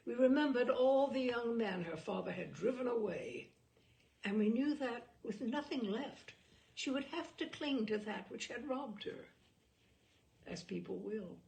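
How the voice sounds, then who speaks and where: A woman narrating a literary short story aloud in an expressive, measured way, with dramatic phrasing. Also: on the high side, creaky, poor audio.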